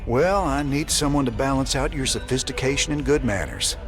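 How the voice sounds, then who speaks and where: An actor playing a wary rebel commander in a video game, terse, dry and plain-spoken. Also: male voice, mid-range voice, a little fast, natural voice. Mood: dry, wry. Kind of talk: video game cutscene acting. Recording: music behind the voice. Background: game soundtrack music.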